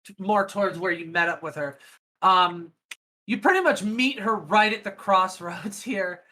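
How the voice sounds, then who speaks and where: A woman's voice: medium pitch, unhurried, bright, forceful tone. A giggly, often swearing woman casually narrating an improvised tabletop game as its game master.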